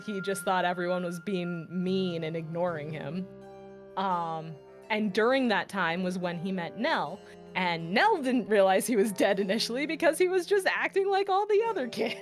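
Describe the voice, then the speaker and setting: A woman's voice: mid-range voice, normal speed. A woman talking casually and at length as a tabletop player, in an informal, wordy way. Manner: wordy, rambling, informal swearing. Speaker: tabletop role-playing player. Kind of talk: casual chat about their character's backstory. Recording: music playing behind the voice. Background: clear background music.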